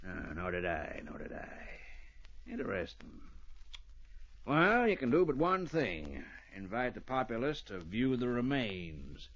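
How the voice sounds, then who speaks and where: An actor playing a fussy, morbidly keen frontier doctor in an old radio western, muttering and repeating himself pedantically. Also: male voice, medium pitch, big pitch swings, unhurried, fairly bright tone, exaggerated voice. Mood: musing, pedantic. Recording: dull old radio recording.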